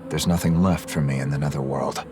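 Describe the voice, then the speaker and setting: An actor playing a severe, brooding middle-aged man in a video game, speaking in a solemn, measured and dramatic way. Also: a bass voice, fairly even intonation. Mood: weary resignation. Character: brooding, severe older male ideologue, cold and resolute. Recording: dramatic music behind the voice.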